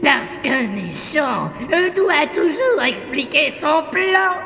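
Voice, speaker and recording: A woman's voice: sits high, very animated, slow, sharp tone, a little hiss or hum, full character voice. A woman playing a theatrical, gloating female villain who cackles and lays out her evil scheme in an audio comedy serial. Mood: gloating, menacing. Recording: echoey hall reverb, music behind.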